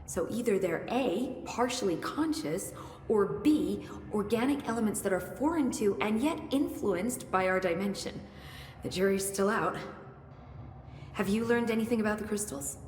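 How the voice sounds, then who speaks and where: An actress playing a brisk, curious research scientist with dry wit in a video game, thinking aloud analytically. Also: female voice, medium pitch, animated, a little mellow, close to an everyday voice. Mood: fascinated. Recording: echoey hall with faint noise.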